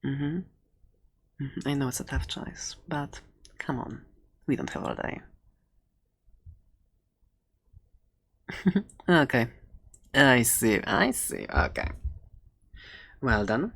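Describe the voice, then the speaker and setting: A performer voicing a furry animal shopkeeper in an ASMR roleplay, soft-spoken and halting, often slipping toward a whisper, doting with an edge of menace. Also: medium pitch, lively intonation, vocal fry, breathy edge, exaggerated voice. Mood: coaxing, friendly.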